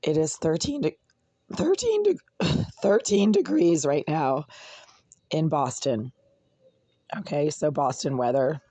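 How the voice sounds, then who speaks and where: Hoarse, raspy voice with a cracking break. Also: mid-range voice, very animated, takes it slowly, long pauses, mellow. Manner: aggrieved, ranting, insults critics. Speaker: vlogger. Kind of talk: casual complaint vlog. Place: cold apartment indoors.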